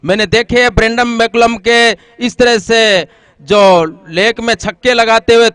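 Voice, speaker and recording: A man's voice: extremely high pitch, fairly even intonation, a little slow, a bit bright, clean tone, no echo. A man giving live cricket commentary, mixed with casual banter between calls.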